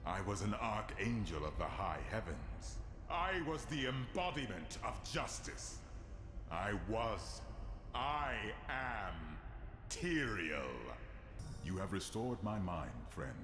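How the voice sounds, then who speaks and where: An actor playing a solemn, noble fallen archangel of justice in a video game, speaking gravely and formally. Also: male voice, fairly low pitch, sing-song, slow, over music. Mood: solemn, urgent. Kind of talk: dramatic video game voice acting. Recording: roomy echo, clear background noise.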